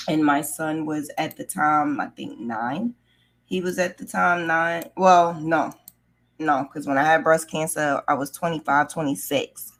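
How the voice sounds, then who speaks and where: A middle-aged woman with a low-pitched voice shares a personal story. She talks casually and candidly, as in a conversation, slipping into bits of remembered dialogue. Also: a bit bright. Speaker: vlogger telling her own story.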